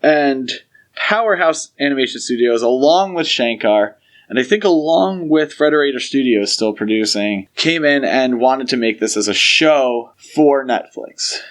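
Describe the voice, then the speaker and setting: A man's voice: medium pitch, lively intonation, a little slow. Explanatory man co-hosting a podcast. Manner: explanatory, opinionated. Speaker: podcast co-host. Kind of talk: casual podcast discussion.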